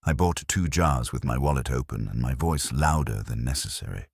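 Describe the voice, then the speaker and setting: A man reading a scripted first-person detective story in a wry, deadpan way. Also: very deep voice, normal speed, creaky, husky, no echo, clean studio sound.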